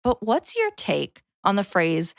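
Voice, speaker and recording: A woman with a mid-pitched voice speaks casually as a podcast co-host. Her delivery is blunt and wry. The recording is dry and close, with very little room. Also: huge pitch swings, a little slow, vocal fry, no echo. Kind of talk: casual podcast chat and reading out messages.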